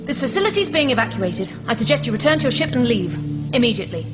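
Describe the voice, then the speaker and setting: A woman's voice: fairly high pitch, very animated, fast. A woman playing a stern lieutenant in a science fiction drama, clipped, authoritative and demanding. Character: brisk, stern female lieutenant of a fighting force. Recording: reverberant drama mix, music behind.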